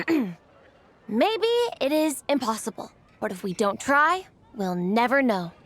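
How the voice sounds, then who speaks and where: High-voiced gentle young anime artist. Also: female voice, very animated, slow, exaggerated voice. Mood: earnest. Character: gentle, self-doubting young woman artist with big dreams. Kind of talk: anime video game dialogue. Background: light game music.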